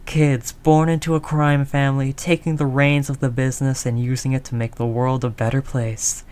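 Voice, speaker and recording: A woman playing a tough, doting mafia heiress girlfriend in an intimate audio roleplay, low-voiced, talking in affectionate slangy tough talk. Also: level tone, moderate pace, darkish tone, clear, resonant tone. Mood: amused, loving.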